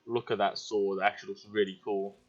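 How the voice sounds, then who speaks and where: A man's voice: fairly low pitch, flat delivery, fast talker, muffled recording. A man giving casual let's play commentary as a gaming YouTuber.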